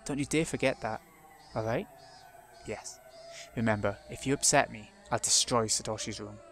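A man's voice: mid-range voice, normal speed, fairly bright tone, slight background noise, natural voice. A man doing a menacing abusive uncle. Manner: sneering, turns falsely sweet.

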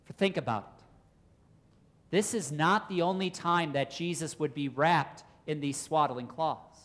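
Casting: male preacher, forties, clear teaching sermon delivery, emphatic, posing questions to listeners. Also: on the high side, fairly bright tone. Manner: deliberate, emphatic, explanatory. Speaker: Lutheran pastor. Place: church, at a pulpit indoors.

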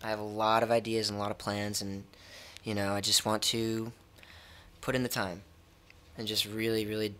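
A man's voice: on the low side, level tone, moderate pace, pauses often, a bit bright. A young man with an American accent chatting casually as an interviewed musician, in an informal, hesitant, repetitive way. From United States. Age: young adult. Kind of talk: casual chat. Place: indoor music studio. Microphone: stand microphone.

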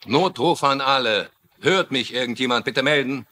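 An actor playing a brusque, guarded insurance investigator in a German-dubbed TV mystery, terse and businesslike. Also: male voice, mid-range voice, moderate pace, pushed and bright, lightly acted. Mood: urgent.

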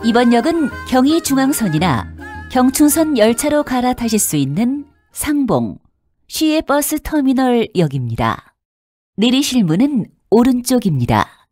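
A woman reading scripted subway announcements in a formal, polite, clearly enunciated way. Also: fairly high pitch, very animated, unhurried, a little mellow, no echo, faint music behind. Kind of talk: scripted public transit announcement.

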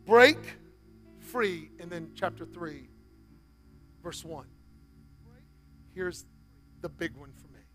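A high-pitched, bright male pastor preaching from a church stage, surging loud then dropping to a hush. His voice is sharp and forceful, with faint music underneath. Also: animated, slow, pauses often. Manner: swings from loud, high exhortation to soft, near-whispered appeals. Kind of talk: sermon.

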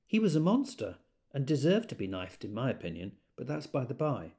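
An actor playing a chatty, opinionated teacher in an audio drama monologue, wry and confiding. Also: male voice, medium pitch, wildly swooping pitch, a little fast, dark tone. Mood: wry, resigned. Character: chatty, opinionated teacher dispensing blunt worldly advice.

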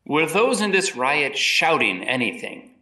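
Guy acting an old-time lawyer questioning witnesses, lively sharp voice, very formal, echoey. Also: on the high side, a little slow, exaggerated voice. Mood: probing, courteous. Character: courteous, probing male colonial defense lawyer. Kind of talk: dramatized courtroom questioning. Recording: roomy echo, distant mic.

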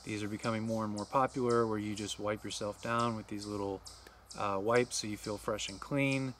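A man in his forties with a fairly low voice walks through his gear list in a plain, practical way. He sits outdoors beside a camper at a wooded campsite, and a faint outdoor hush lies under his words. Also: moderate pace, slight background noise. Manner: steady, practical explainer, listing tips. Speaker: RV travel vlogger. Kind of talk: instructional vlog to camera.

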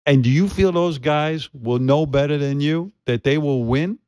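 A man's voice: on the high side, normal speed, a little mellow, no room sound. A man, hosting a radio show and teasing and provoking his staff. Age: 60s. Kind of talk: studio radio banter. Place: radio studio. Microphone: studio mic with headphones.

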